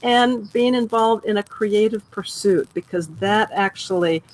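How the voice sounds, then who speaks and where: A woman's voice: mid-range voice, measured pace, a little hiss or hum, poor audio. A woman giving a chakra reading in a casual talk, reassuring and saying okay often. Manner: reassuring, says okay a lot. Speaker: energy healer.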